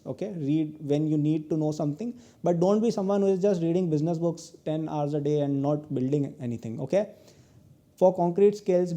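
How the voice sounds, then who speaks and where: A young man in his twenties with an Indian English accent gives earnest advice in a recorded lecture. His pitch sits a little high for a man, but his tone is very dark and mellow. He keeps ending points with "okay?". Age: 20s. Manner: earnest advice, tags many lines with "okay?". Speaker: guest lecturer, entrepreneur.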